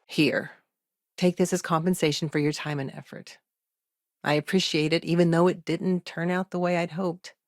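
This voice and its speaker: Game role: pragmatic female lawyer on a mining colony, played by an actress. Lowish voice, businesslike and frank, dry close studio sound. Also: flat delivery, moderate pace, no room sound, close to an everyday voice. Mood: sincere, appreciative. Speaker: video game character, lawyer. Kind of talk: conversational video game dialogue acting.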